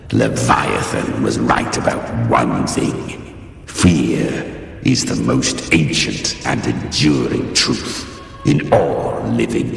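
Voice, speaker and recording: A man voicing a contemptuous, arrogant ancient monster in a fantasy game, with a growling, theatrical, commanding delivery. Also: heavily acted. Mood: gloating. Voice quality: deep gravelly growl. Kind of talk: dramatic fantasy game acting. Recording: echoing hall reverb, distant, music underneath.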